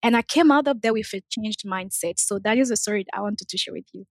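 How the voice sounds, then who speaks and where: A woman's voice: medium pitch, fast talker, dry recording. A young woman from the DRC, casually telling a personal story and acting out what others said. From Democratic Republic of the Congo. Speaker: panel discussion participant.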